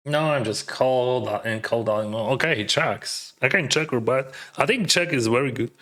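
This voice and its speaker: A man at a medium pitch talking through his poker hands for viewers. He thinks aloud in a loose, chatty way, tossing in casual slang, and his English carries a light accent. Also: moderate pace, pushed and bright. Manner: mildly accented non-native English. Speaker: poker streamer. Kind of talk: casual live-stream commentary.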